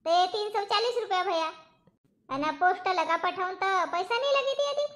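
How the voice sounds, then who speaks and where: Cartoon village wife, played by a woman: extremely high, sharp voice, rural eastern Maharashtra accent, thrifty and argumentative. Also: moderate pace. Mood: insistent, calculating. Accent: rural eastern Maharashtra, Balaghat-Gondia border region. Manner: itemizing, explaining, protesting. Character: thrifty village wife haggling over festival expenses. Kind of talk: cartoon comedy dialogue.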